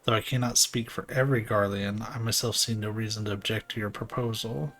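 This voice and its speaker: Man reading as a low, respectful diplomat. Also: fairly even intonation, brisk, fairly bright tone, soft background music. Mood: grateful, agreeable. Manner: polite, formal diction.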